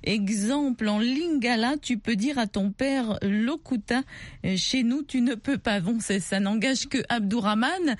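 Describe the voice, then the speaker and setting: A woman's voice: a little high, a little slow, dry recording. A woman hosting a call-in radio show in French, reading listener comments and probing callers with follow-up questions. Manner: probing, rephrases her questions, laughs easily.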